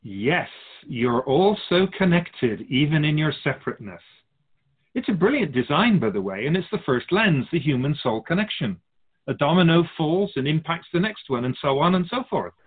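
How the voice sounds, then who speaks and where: A man playing an otherworldly, wise spiritual guide in a radio drama, measured and instructive with gentle amusement. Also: a little high, sing-song, husky. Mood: wise, gently encouraging. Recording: slightly muffled, phone-like narrow sound.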